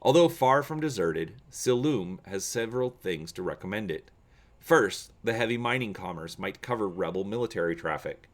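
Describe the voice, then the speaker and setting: A man reading an adventure gamebook aloud as a channel host, with measured, theatrical, deliberate phrasing. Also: on the low side, expressive. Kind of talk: dramatic audiobook-style reading of an adventure gamebook.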